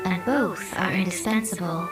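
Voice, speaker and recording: A woman's voice: on the low side, moderate pace, lightly acted. An actress playing a playful, elegant female fox spirit in a video game, with a poised, teasing manner. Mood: poised, sincere. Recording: slightly distant, with music behind. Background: game music.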